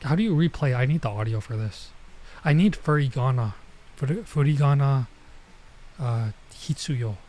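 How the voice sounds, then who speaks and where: A man streaming a visual novel, talking in a mellow, relaxed voice at a medium pitch. His commentary is informal and halting, often drifting into muttering as he works out lines and reads them aloud. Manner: informal, halting, mutters to himself while translating. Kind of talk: casual let's play commentary, reading dialogue aloud.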